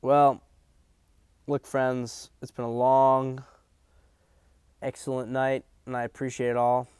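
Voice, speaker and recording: A man's voice: medium pitch, monotone, takes it slowly, darkish tone, clean tone, no echo, studio quality. A man hosting a political commentary stream, talking bluntly and emphatically, swearing freely and often restarting his sentences. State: hiccups. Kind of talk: profane livestream commentary.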